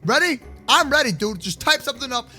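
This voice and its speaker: Young male game-video host: bright, forceful and animated, teasing and slangy roleplay commentary. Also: very high voice, brisk. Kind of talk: excitable Minecraft roleplay commentary. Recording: music behind the voice. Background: background music.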